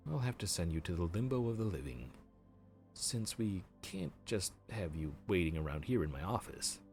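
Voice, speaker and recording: A man plays a grim reaper who runs an underworld office like a tired bookkeeper, chatty and a little awkward. His voice sits low but swoops widely up and down as he banters, with soft music behind him in this audio roleplay. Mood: businesslike. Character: friendly, flustered male grim reaper supervisor. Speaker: voice actor.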